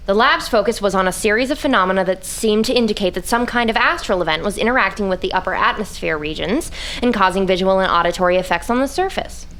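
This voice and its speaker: An anxious, secretive wife in a forties radio mystery, played by a woman. She has a middle-pitched voice and answers in a guarded, evasive way. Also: fairly bright tone, close to an everyday voice. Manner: guarded, hesitant when pressed. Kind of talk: 1940s radio drama acting.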